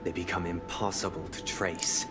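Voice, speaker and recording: An actor playing a serene, zealous preacher of order in a video game, speaking in solemn, grandiloquent, measured oratory. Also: male voice, low-pitched, flat delivery, loud music behind the voice, close to an everyday voice. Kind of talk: theatrical game dialogue.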